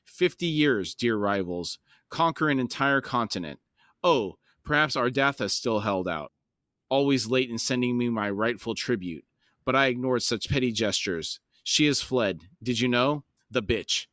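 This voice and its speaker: A man reading aloud as a smug, scornful tyrant king who rasps through long gloating speeches. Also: mid-range voice, animated, a little slow, fairly bright tone, dry recording, clean studio sound, pitched below the performer's own voice. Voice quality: rasping, self-satisfied.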